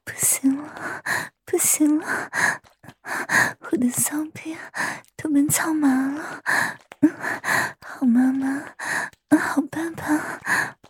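An actress playing a submissive, excitable woman in a whispered, breathy audio drama. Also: high-pitched, very slow, long pauses. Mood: breathless, excited.

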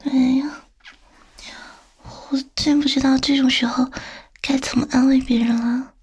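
A woman's voice: high-pitched, little pitch movement, darkish tone, full character voice. A woman playing a teasing, coaxing female boss flirting with a younger employee in an intimate, whispered audio roleplay. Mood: tender soothing. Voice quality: breathy, whispery. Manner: slow, coaxing, tender, teasing.

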